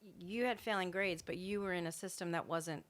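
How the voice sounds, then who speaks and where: A woman's voice: lowish voice, fairly quick. An older woman, a longtime schoolteacher, explaining things in a matter-of-fact way as a podcast guest. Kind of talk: casual interview chat.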